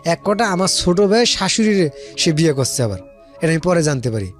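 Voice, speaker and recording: A man's voice: on the high side, big pitch swings, fairly quick, soft background music. A man with a Bangladeshi accent telling a personal story in casual, colloquial speech, rambling and repeating phrases as he quotes conversations. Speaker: guest telling their life story.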